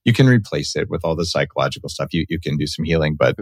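A man's voice: very deep voice, expressive, fast talker, dry recording, clean studio sound. A middle-aged man hosting an interview, chatting casually with an enthusiastic, jokey manner. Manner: jokey, enthusiastic, laughs while talking. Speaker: podcast host.

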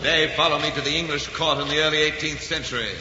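An actor playing a dashing, melodramatic narrator who introduces a 1940s radio romance in a grand declamatory style. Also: male voice, fairly high pitch, fairly even intonation, fast talker, very noisy, lightly acted. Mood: grand, stirring. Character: dashing, passionate romantic narrator, spirit of adventure. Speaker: announcer introducing the play. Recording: echoey, muffled 1940s radio recording.

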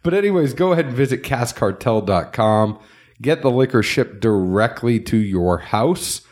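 A man's voice: mid-range voice, expressive, normal speed, a little mellow. A man with a nasal voice co-hosting a casual podcast, chatting loosely and running through sponsor plugs. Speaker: podcast co-host.

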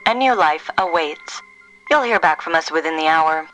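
A woman playing the cryptic, ominous answerphone voice of a secret agency in an audio drama, speaking with deliberate menace. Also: sits low, expressive, a bit bright, faint music behind, poor audio. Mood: mysterious, commanding.

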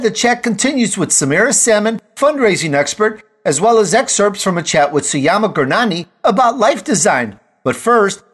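A male interview host with a slightly high voice who talks in an effusive, upbeat way. He heaps praise on his guests and sounds glad to have them on the show. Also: a bit bright, husky. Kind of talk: upbeat podcast interview hosting.

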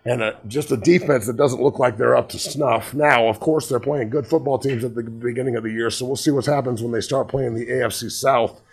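A man hosting a football show talks through the games in a blunt, offhand manner. His voice is raspy and gravelly, rough with fry and hoarse from yelling. The tone is husky and unpolished, in an everyday middle register. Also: medium pitch, fairly even intonation, a little fast, hardly any pauses. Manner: blunt, conversational, frequent restarts and swearing. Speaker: football show host, former player. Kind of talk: casual sports commentary.

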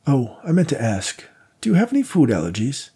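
A man's voice: medium pitch, wildly swooping pitch, brisk, warm tone, husky, pitched above the performer's own voice, full character voice. A man voicing a fussy, awkwardly polite elderly male donor with a raised voice. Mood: eager.

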